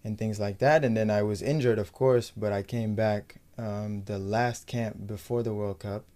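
Low, mellow young American man, even delivery. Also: normal speed. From United States. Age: young adult. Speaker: professional footballer being interviewed. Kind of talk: casual sports interview.

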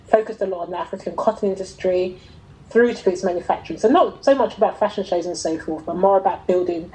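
A middle-aged woman telling her own story in an interview, talking conversationally in a reflective, halting way and often rephrasing. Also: lowish voice, warm tone, rough recording. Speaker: interviewee, fashion entrepreneur.

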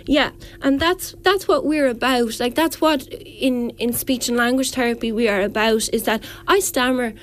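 A woman's voice: fairly high pitch, normal speed, few pauses, a little hiss or hum. A woman speech therapist explaining as a radio guest, earnest and full of restarts and fillers.

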